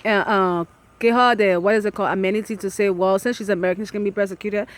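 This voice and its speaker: A woman's voice: mid-range voice, fairly even intonation, fast talker, dry recording. A woman with a Liberian accent hosting a discussion interview, speaking earnestly and murmuring agreement often. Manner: talks earnestly, with many affirming murmurs. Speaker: host.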